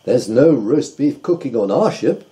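A man's voice: mid-range voice, lively intonation, measured pace, warm tone, close to an everyday voice. A man voicing a kindly, fussy old male doctor in a storybook, exclaiming in a raised voice. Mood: puzzled.

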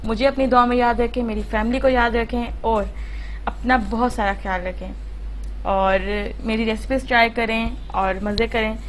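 A woman's voice: fairly high pitch, fairly even intonation, moderate pace, a little hiss or hum. A woman hosting a home cooking channel, explaining a recipe step by step in a chatty, instructive voice-over full of fillers.

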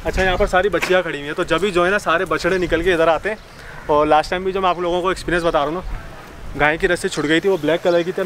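A man's voice: high-pitched, fairly bright tone. A young man, chatting casually as a vlog host and projecting as he walks. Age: young adult. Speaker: vlogger, host. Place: outdoors in an open cattle farm yard. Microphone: camera at arm's length or a few steps away. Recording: noisy open-air farm ambience.

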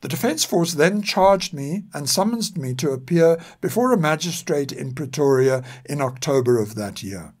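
An older man reads scripted historical narration in a measured, formal and serious way. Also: a little high, lively intonation, darkish tone. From South Africa. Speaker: narrator and podcast author.

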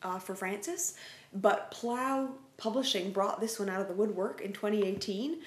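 A woman's voice: medium pitch, normal speed. A middle-aged woman chatting casually about children's books as a co-host. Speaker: co-host, teacher and parent. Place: living room couch, indoors. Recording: roomy indoor echo.

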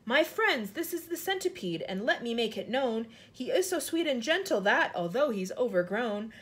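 A woman's voice: high voice, voice pushed up. A woman voicing a friendly, earnest small boy in a storybook, speaking politely and cheerfully.

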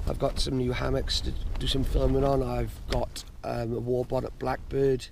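A man's voice: medium pitch, monotone, moderate pace, vocal fry. A man, chatting casually and rambling to an action camera inside a small tent. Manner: rambling, chatty, many fillers and restarts. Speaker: outdoor gear vlogger. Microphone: action camera held close. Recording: loud wind noise on a tent.

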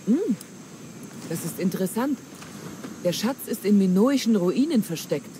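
An actress playing a wily, flattering pirate treasure hunter in a dramatic German game dub, with theatrical, coaxing delivery. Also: female voice, medium pitch, measured pace, natural voice. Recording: clear ambient noise.

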